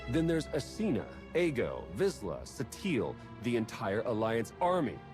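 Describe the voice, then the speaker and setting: An actor playing a wry, quick-witted male spy in a video game, with a casual, sardonic delivery. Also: mid-range voice, wildly swooping pitch. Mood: sardonic, protesting. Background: music.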